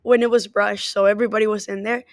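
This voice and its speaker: A woman's voice: medium pitch, level tone, fast, a little hiss or hum. A woman whose first language is Spanish, an athletic trainer answering interview questions in an earnest, upbeat way.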